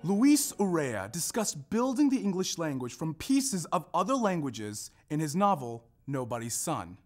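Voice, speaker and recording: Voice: mid-range voice, sing-song, unhurried, soft background music. A performer narrating a scripted readers theater piece, presenting quotations and linking ideas. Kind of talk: scripted narration, staged reading of a research presentation.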